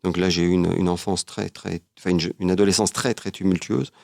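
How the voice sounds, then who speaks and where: A man answering as an interview guest, earnest and philosophical, often halting and repeating his words. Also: sits low, steady tone, vocal fry, darkish tone, no echo, clean studio sound. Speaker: interview guest, travel writer. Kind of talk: reflective conversational interview.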